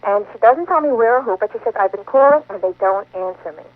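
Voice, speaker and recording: A woman's voice: fairly high pitch, lively intonation, fast talker, no echo, soft background music. A woman calling an advice show by telephone, explaining a personal problem in a conversational way. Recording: narrow, tinny phone line on radio.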